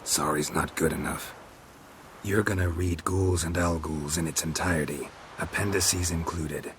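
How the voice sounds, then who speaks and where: Stoic game monster hunter, super deep, dry and clipped. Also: male voice, a little slow, slight background noise. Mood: commanding. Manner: dry, terse, deadpan. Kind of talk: video game cutscene acting.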